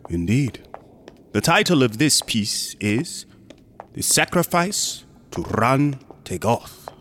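An actor playing a smug, unctuous museum assistant in a horror radio drama, courteous and insinuating, sometimes dropping into whispers. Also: male voice, medium pitch, very animated, slow pace, a bit bright, slight background noise. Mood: oily politeness. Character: sinister, smug, unctuous museum assistant guarding macabre exhibits.

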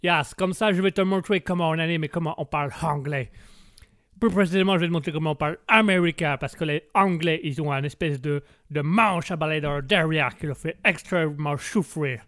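Man doing boastful American character, put-on American accent, slow drawl, higher voice. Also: a bit bright, no echo, studio quality, natural voice. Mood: cocky. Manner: swaggering, slow drawl, drops English words. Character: brash arrogant American man, boastful and provocative.

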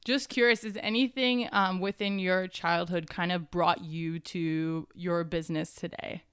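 Upbeat woman podcast host, smooth voice. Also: on the low side, steady tone, unhurried, dry recording, clean studio sound. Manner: upbeat, enthusiastic. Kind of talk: podcast intro and interview.

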